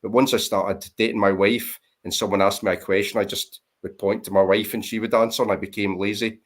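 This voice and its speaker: A man's voice: fairly low pitch, flat delivery, fairly quick. A man with a Scottish accent, chatting casually and self-deprecatingly as a guest on a livestream. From Scotland. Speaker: guest on panel. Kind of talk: casual chat.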